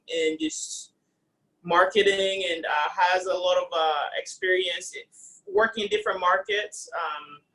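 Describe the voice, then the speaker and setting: A man moderating a panel, introducing the next speaker in a halting way with many pauses. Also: high voice, pushed and bright, low-quality recording.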